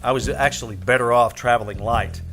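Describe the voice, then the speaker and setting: An actor playing a tough, wry private detective in an old radio crime drama, sardonic in dialogue and narration. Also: male voice, mid-range voice, fairly even intonation, a little fast, a bit bright, soft background music, lightly acted. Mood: dry narration. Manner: sardonic, hard-boiled. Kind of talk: 1940s-50s radio detective drama acting.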